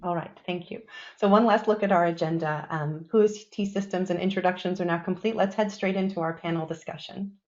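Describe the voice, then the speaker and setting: A woman's voice: lowish voice, steady tone, a little fast, darkish tone, muffled recording. A woman moderating a webinar panel, friendly and organized as she guides the questions.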